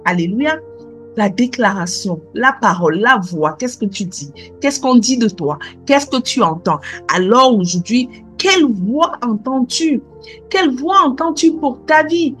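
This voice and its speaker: A high-pitched woman preaches to her congregation. She repeats her questions and drops in alleluia and amen between lines. Faint music plays underneath. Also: lively intonation, a little slow, a bit bright. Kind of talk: sermon.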